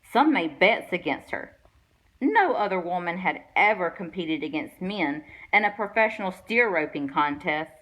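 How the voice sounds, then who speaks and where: A woman reading a children's picture book aloud with expressive storytelling delivery. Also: lowish voice, moderate pace, low-quality recording. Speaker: guest read-aloud reader.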